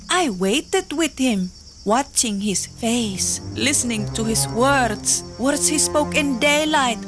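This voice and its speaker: A fierce, desperate young island woman pours out her heart in slow, poetic pleading. Her voice sits slightly high and unhurried. Faint music plays beneath this audio drama. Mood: anxious. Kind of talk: full-cast audio drama.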